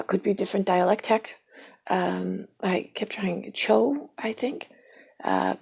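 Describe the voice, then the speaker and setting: A woman rambles slowly through her thoughts in an airy, raspy voice with heavy fry at the ends of phrases. She often slips into a half-whisper and stops to spell words out letter by letter. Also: mid-range voice, a little mellow. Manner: spells words out letter by letter, drifts between topics. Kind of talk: rambling stream-of-consciousness monologue.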